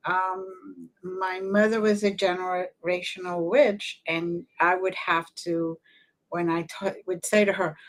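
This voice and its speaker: A woman's voice: fairly low pitch, flat delivery, takes it slowly, poor audio. A woman from Cuba co-hosting an interview, earnest and agreeable, often chiming in with affirmations. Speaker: podcast co-host. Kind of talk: casual interview chat.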